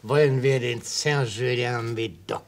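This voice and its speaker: An actor playing a wily, gleeful retired prosecutor in a German radio play, an older man with a husky voice and a florid, probing way of talking that drops into whispers. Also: mid-range voice, fairly even intonation, unhurried, slight background noise, natural voice. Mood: gleeful, sly.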